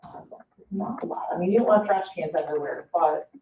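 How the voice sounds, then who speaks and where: A woman's voice: on the low side, fairly quick, muffled recording. A woman talks informally at a board meeting, explaining things with practical examples. She is picked up from a distance in an echoey room, with faint noise behind her. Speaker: parks staff member at a board meeting. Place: indoor public meeting room.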